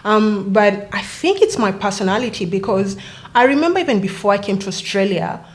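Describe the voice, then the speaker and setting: A woman speaks as a podcast guest, recalling her childhood in a reflective way. Her voice sits at an ordinary middle pitch. She often pauses, adds fillers and corrects herself mid-sentence. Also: expressive. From Kenya. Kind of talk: casual interview storytelling.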